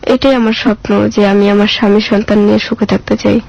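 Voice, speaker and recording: A woman's voice: medium pitch, flat delivery, brisk, vocal fry, muffled recording. A woman with a Bangladeshi accent telling her life story as an interview guest in plain, colloquial, plaintive speech.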